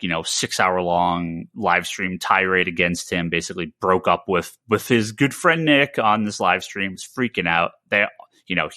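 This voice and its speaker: A man with a fairly low, very bright and forward voice, recorded close and dry. He talks like a podcast host giving casual commentary. His delivery is halting, with stammered starts and repeated words. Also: dry recording. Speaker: podcast host and comic shop owner.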